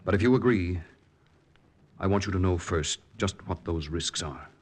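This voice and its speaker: Deep-voiced wartime lieutenant, grave and measured. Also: male voice. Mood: earnest, grave. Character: earnest male wartime underground lieutenant narrating his mission. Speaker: actor and first-person narrator. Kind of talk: 1940s radio drama acting. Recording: vintage 1940s radio recording.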